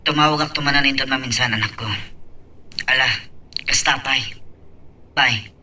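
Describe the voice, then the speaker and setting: A man's voice: medium pitch, fairly even intonation, normal speed, bright, forceful tone, distant mic. A man playing a regretful, devoted migrant father in an audio romance drama. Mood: tender, subdued. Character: troubled Filipino migrant father, regretful and devoted to his children.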